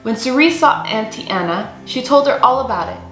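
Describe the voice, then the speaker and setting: A young woman reading a children's picture book aloud as a storyteller, with deliberate, expressive phrasing in a small room. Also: a little high. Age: young adult. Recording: roomy echo, faint music underneath.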